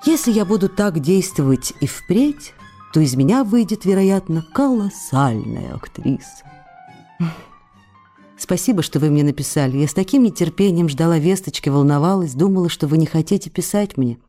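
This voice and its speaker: Female performer as young stage actress, radio drama, warm dark tone, emotional, candid, intimate expressive reading, soft background music. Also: lowish voice, moderate pace, close to an everyday voice. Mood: bright, coquettish.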